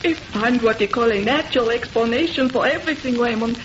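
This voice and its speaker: An actress as a high-voiced, melodramatic French wife. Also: female voice, a little fast, lightly acted. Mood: upset insistence. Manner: melodramatic, broken English. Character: jealous, frightened, emotional French wife. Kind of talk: 1930s radio horror acting. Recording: noisy, dull old radio recording.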